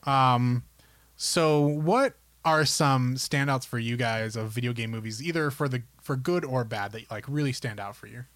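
A man's voice: mid-range voice, normal speed, fairly bright tone, dry recording. Man, podcast co-host, mid-range voice, informal, rambling, casual chat, dry recording. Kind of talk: casual chat about films and games.

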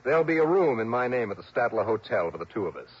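An actor playing a confident, wry insurance investigator in an old radio drama, crisp and conversational. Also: male voice, mid-range voice, sing-song, fast talker, muffled recording. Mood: friendly, amused. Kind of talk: old radio drama acting, first-person narration. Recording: band-limited old radio recording, music.

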